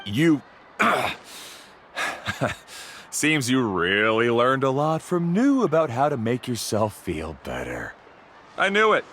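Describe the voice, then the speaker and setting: Competitive game braggart, male, defensive, lively higher voice, noisy ambience. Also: slow pace, pauses often, full character voice. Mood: grudging. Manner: brash, defensive. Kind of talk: video game character acting.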